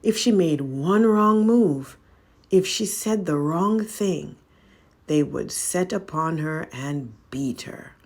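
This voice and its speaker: A woman storyteller with a low voice and plenty of fry. She narrates a folk tale slowly and dramatically, as a read-aloud. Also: expressive, a little mellow.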